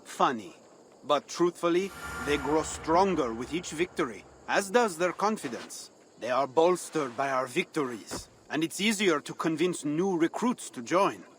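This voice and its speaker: An actor playing a cynical French rebel leader in a video game, sardonic and guarded, with a French accent. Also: male voice, a little high, expressive, a little slow, a bit bright, a little hiss or hum. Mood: grave. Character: wry, cynical male French rebel leader, secretly softhearted. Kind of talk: dramatic video game acting.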